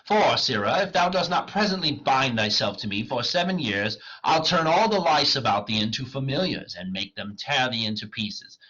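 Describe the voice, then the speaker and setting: A man's voice: mid-range voice, expressive, normal speed, hardly any pauses, fairly bright tone, breathy edge, muffled recording, voice pushed up. A man voicing a haughty, threatening master conjurer in an Elizabethan comedy, commanding and declamatory. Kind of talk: Elizabethan stage comedy reading.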